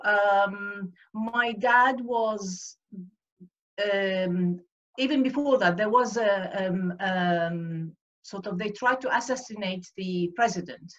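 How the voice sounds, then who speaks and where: A woman in her sixties from Iraq, earnestly recalling her life as a guest on a video call, often searching for words. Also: mid-range voice. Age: 60s. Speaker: guest speaker. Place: home room over a video call. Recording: dull lo-fi video-call audio.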